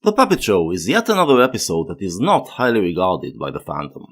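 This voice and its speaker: Low-voiced man narrating with very lively pitch. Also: a little fast. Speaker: host of a video essay series. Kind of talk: scripted analytical narration.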